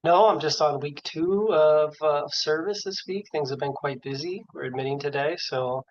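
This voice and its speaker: Guy hosting a med case call, kinda high voice, upbeat, dull lo-fi audio. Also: normal speed. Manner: upbeat, thinking aloud with many fillers. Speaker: co-host of a case conference, physician. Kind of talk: casual hosting and clinical reasoning. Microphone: video call.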